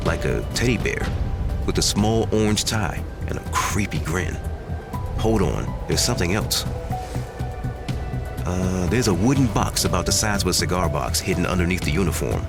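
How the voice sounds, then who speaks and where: An actor playing a methodical investigator in an audio drama, describing what he finds in a deliberate, wry way. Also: deep voice.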